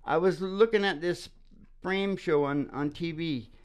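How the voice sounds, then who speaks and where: A man's voice: fairly high pitch, a little slow, clean tone. A man who performs and hosts a music show, chatting casually and rambling as he interrupts himself. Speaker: singer-guitarist hosting a music show. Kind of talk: casual rambling chat between live songs. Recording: dull lo-fi sound with acoustic guitar.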